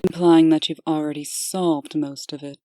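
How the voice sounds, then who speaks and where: A cool, businesslike deputy played by a woman with a low voice, recorded dry and close. She is terse and skeptical, with an exasperated edge. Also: fairly even intonation, moderate pace, warm tone, no room sound, close to an everyday voice. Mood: irritated. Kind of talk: comic dub character acting.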